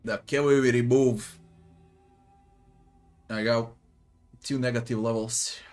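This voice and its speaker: A man's voice: medium pitch, fairly even intonation, slow, a little mellow. A man from Serbia with a Serbian accent gives casual game commentary, grumbling, swearing and muttering to himself. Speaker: gamer doing a playthrough. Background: faint game music.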